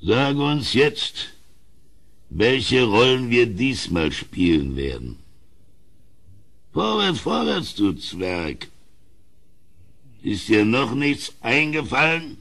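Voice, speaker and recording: A man's voice: mid-range voice, big pitch swings, extremely slow, pauses often, fairly bright tone, full character voice. An actor playing a cruel, drunken king in a German audio drama, domineering and mocking as he commands. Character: cruel, drunken, bullying king, given to loud mirth.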